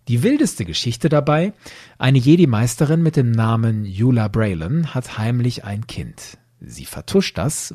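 A man hosting a podcast with scripted, explanatory narration in a husky voice. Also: lowish voice, mellow. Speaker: podcast host.

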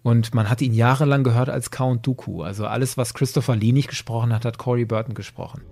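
A man's voice: mid-range voice, steady tone, fast talker, vocal fry, a little mellow, dry recording, studio quality, faint music behind. An enthusiastic man chatting as a podcast co-host. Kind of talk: casual discussion. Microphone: close podcast microphone.

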